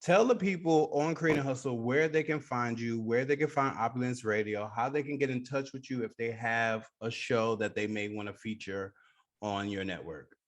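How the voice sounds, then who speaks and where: A man's voice: medium pitch, normal speed. New York man hosting a radio interview.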